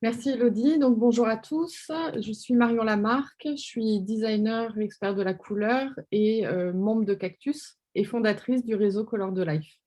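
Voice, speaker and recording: A woman designer introducing herself on a webinar, talking with some halting fillers. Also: a little high, mellow. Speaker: color designer.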